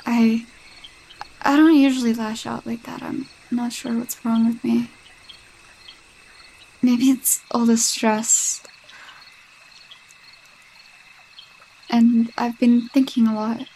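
A woman's voice: a little high, level tone, slow, vocal fry, long pauses, slight background noise, poor audio. A young woman voicing a possessive, jealous girlfriend with a sinister streak, sweet-talking and coaxing in a roleplay audio drama. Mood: obsessively worried. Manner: sweet-talking, coaxing, with unsettling laughs and hushed asides.

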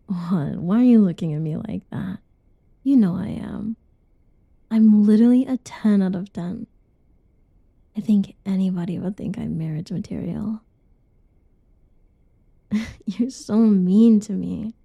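A young woman whispering softly in a teasing, cuddly ASMR roleplay, with giggles between lines. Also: medium pitch, normal speed, gravelly, husky, no echo, lo-fi sound. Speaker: roleplay narrator.

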